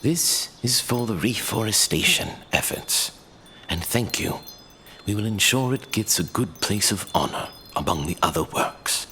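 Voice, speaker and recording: An actor playing a scholarly archivist in a fantasy audio drama, telling old legends in a theatrical, savoring manner. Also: male voice, fairly low pitch, animated, a little slow, sharp tone, a little hiss or hum. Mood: jovial, indulgent. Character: scholarly male Lord Archivist, fond of drink, recounting sad legends.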